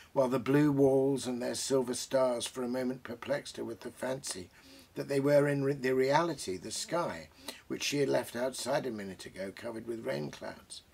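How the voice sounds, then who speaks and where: Gravelly, husky older British man. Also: mid-range voice, a little mellow. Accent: British English. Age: 60s. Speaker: host. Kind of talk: bookish commentary and reading aloud. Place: small book-crammed study, indoors.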